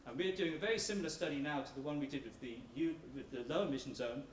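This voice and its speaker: A man's voice: a little high, quick pace. A man giving a persuasive public presentation as an academic researcher, speaking emphatically. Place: large indoor room at a public meeting. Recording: roomy hall, distant mic, background noise.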